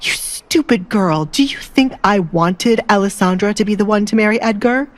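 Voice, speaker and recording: A woman's voice: medium pitch, normal speed, natural voice. A woman voicing a scheming, irritable middle-aged baron in an audio drama, scolding and calculating. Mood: irritated.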